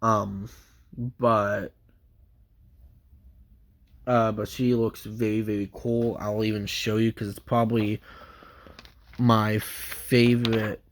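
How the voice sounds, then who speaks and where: A man's voice: lowish voice, flat delivery, takes it slowly, long pauses. A man with a stuffy nose from a cold, giving a casual, rambling comic book review full of fillers and restarts.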